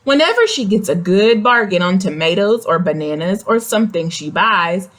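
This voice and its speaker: A woman's voice: mid-range voice, expressive, normal speed, fairly bright tone. A woman reading a picture book aloud to young children, expressively, with simple questions and explanatory asides. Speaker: read-aloud storyteller.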